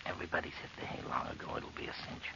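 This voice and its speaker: A man's voice: racing, lightly acted. An actor playing a brash, callous burglar who bullies and wheedles in slangy tough-guy talk in an old radio horror drama. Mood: hushed, impatient. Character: brash, callous male burglar, pushy and scornful. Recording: muffled, noisy old radio recording.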